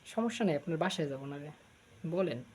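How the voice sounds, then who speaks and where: A man's voice: fairly high pitch, animated, fairly quick, darkish tone, slight background noise. A man with a Bangladeshi accent making a teasing, flirtatious casual prank phone call, talking coaxingly. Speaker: prank caller.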